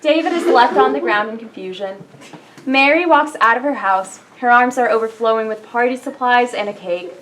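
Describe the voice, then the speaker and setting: A woman's voice: a little high, moderate pace, a bit bright, rough recording. A woman reading scripted stage directions as narrator at a staged play reading. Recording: clear background noise.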